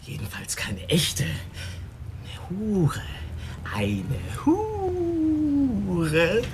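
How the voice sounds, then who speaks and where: A frightened, simple-minded young man in a radio play. His high voice is slow and whiny, with big swings in pitch. He talks haltingly, like a drugged child. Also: wildly swooping pitch, background noise, exaggerated voice. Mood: dazed, childlike. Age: 20s. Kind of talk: radio drama acting.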